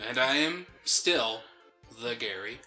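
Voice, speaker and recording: A man's voice: mid-range voice, animated, slow, bright, forceful tone. A man co-hosting a gaming let's play, chatting casually with dry, playful replies. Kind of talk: casual chat, game commentary. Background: faint game music.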